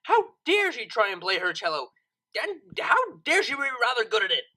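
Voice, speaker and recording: Bright, animated woman narrator. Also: fairly high pitch, moderate pace. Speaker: audiobook narrator. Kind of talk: dramatic scripted story narration.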